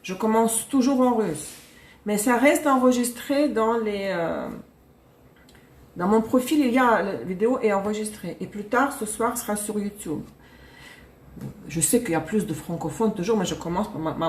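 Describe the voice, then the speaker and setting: A woman's voice: mid-range voice, moderate pace, a little hiss or hum. A woman reading tarot cards on a live stream, chatty, laughing and correcting herself as she goes. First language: Russian. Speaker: tarot reader. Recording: echoey room.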